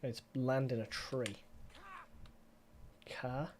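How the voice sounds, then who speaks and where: A man's voice: medium pitch, fairly even intonation, very slow, very noisy. A man with a slightly stuffy voice chatting casually as a live game streamer, rambling with wry asides and mild swearing. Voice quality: stuffy, congested. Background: music.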